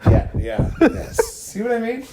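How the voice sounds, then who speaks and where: A man chatting casually in a garage, sarcastic and animated, with a booming, projecting voice, speaking into a phone he holds away from his face. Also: measured pace, very noisy. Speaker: podcast guest. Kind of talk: casual chat. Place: home garage. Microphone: phone held away from the face.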